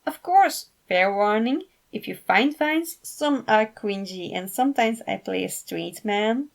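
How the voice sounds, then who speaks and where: A woman voicing a sweet, flirtatious male entertainer in a story reading, warm and animated. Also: medium pitch, measured pace, creaky. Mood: playful.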